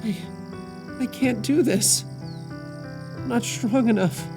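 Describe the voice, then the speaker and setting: A woman playing an anxious, self-doubting young male witch, high-strung and exasperated, in a fantasy audio drama. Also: slow pace, music in the background. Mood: despairing. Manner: panicky, stammering, exasperated.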